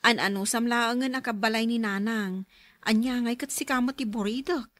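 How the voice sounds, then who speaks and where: A woman's voice: on the high side, moderate pace, a bit bright, no echo, lightly acted. Woman playing a fretful, weary grown daughter. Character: concerned grown daughter, weary of her troublesome mother. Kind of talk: Ilocano radio drama acting.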